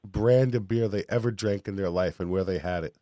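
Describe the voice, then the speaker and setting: A man from New York state hosting a live radio show, with a raspy voice and a cold, talking in a boastful, joking way. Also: low-pitched, fairly quick, mellow, no echo, clean studio sound. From New York state, USA. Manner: boisterous, boastful and jokey. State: has a cold. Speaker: radio host.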